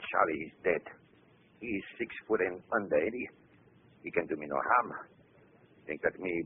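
An actor playing a scared, bullying gangster boss, snapping out slangy bluster in 1940s radio style. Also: male voice, low voice. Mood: scared bravado. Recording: noisy, narrow-band old radio broadcast.

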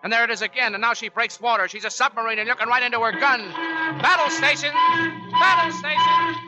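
An actor playing a tough, commanding American Navy chief in a 1940s radio drama, with gruff, slangy straight talk. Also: male voice, very high-pitched, steady tone, fairly quick, over music. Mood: tense, building. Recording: dull, band-limited 1940s radio recording.

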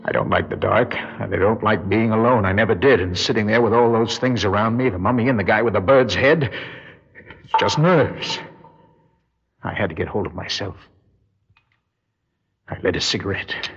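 Low-voiced, jittery noir burglar. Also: male voice, normal speed, long pauses, husky. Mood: uneasy. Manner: nervy, hard-boiled, slangy. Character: jittery guilt-ridden male burglar narrating his own crime. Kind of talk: radio drama acting with first-person noir narration. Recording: muffled vintage radio recording, music behind.

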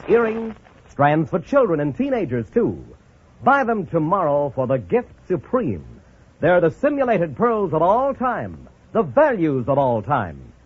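An American man reading a scripted 1940s radio advertisement as a grand sales pitch. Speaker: commercial announcer. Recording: dull old radio, faint noise.

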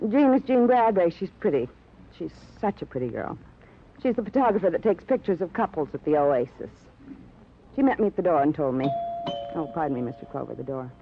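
An actress playing a chatty, genteel, self-absorbed newly widowed housewife, fluttery and rambling, in a 1950s radio drama style. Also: female voice, low-pitched, expressive, fairly quick, soft background music. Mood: wistful. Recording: tinny, noisy old radio recording.

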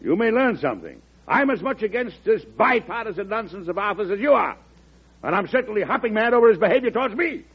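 An actor playing a shrewd, hot-tempered older president in a 1940s radio drama, emphatic and lecturing. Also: male voice, sits high, big pitch swings, fast talker, husky, heavily acted. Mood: heated. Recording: muffled, worn old radio recording.

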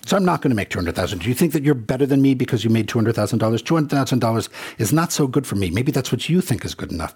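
Earnest, very husky Congolese-born man. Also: on the low side, darkish tone. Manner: earnest, reflective storytelling with quoted exchanges. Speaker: rideshare driver. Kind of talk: personal monologue.

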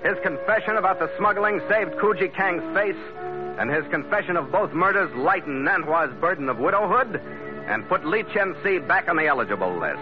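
A tough, wry sea captain in a vintage radio adventure serial. He tells his story in terse, vivid, hardboiled fashion with a highish male voice. The recording is muffled and old, with music swelling strongly behind him. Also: animated, a little fast. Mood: wry, matter-of-fact. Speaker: lead actor and first-person narrator. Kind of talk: 1940s radio adventure drama acting.

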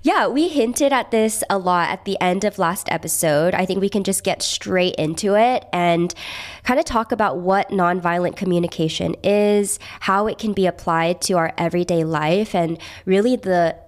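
A woman's voice: fairly low pitch, normal speed. Young woman, nasal, congested, podcast co-host, casual chat, laughs easily.

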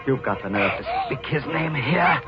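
Obsessive jilted killer: theatrical old-radio horror, halting menace, very animated pitch, muffled recording with music behind. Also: male voice, heavy background noise. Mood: anguished rage. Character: obsessive, murderous jilted sculptor, raging and unhinged.

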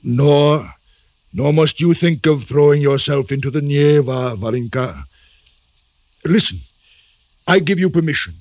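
An actor playing a doting, fretful Russian general and father in a radio drama, with a hesitant, halting delivery. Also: fairly high pitch, expressive, darkish tone, no room sound, dull audio. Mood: solemn. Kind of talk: classic radio drama acting.

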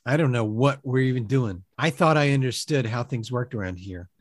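A man's voice: mid-range voice, moderate pace, dry recording, studio quality. A man doing improv comedy on a podcast, talking in an exasperated, rambling way.

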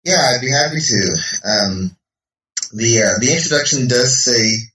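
A man's voice: mid-range voice, unhurried, sharp tone. A middle-aged man from Canada, a philosophy professor answering interview questions in a hesitant way, with many fillers and restarts. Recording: echoey, distant from the mic.

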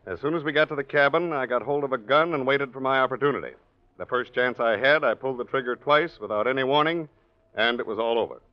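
A male actor plays a confident, businesslike police lieutenant in a vintage radio drama. His mid-pitched voice is matter-of-fact. The recording is dull and aged. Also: fast, lightly acted. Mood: businesslike, assured. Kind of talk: 1940s radio acting.

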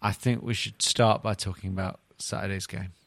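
A man's voice: on the low side, steady tone, moderate pace, vocal fry, a bit bright, no echo. A man hosting a podcast in casual comic banter, teasing his co-hosts with mock bafflement. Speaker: podcast host.

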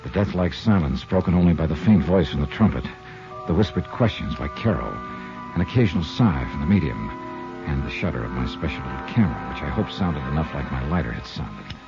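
A man's voice: rumbling low, lively intonation, fairly quick, creaky, full character voice. A man playing a shrewd, wry insurance investigator in a 1950s radio drama, narrating and talking in a dry, hard-boiled way. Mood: dramatic, measured. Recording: muffled old radio recording with music.

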